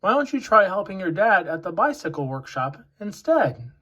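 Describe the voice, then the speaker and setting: A man voicing a gentle mother elf who advises softly in a children's storybook. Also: high-pitched, sing-song, normal speed, lo-fi sound, full character voice. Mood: gently advising.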